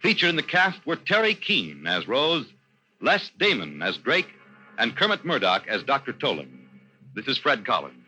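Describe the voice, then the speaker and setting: A man's voice: mid-range voice, wildly swooping pitch, moderate pace, pushed and bright, breathy edge, faint music behind, rough recording. A man with an American accent reading scripted announcements in formal, projected 1950s radio style.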